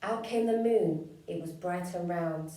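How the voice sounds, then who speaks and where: A woman reads a rhyming picture book to children in a slow, even rhythm with a mellow tone. She sounds far from the mic in a very echoey room. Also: on the low side, slight background noise. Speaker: children's story reader.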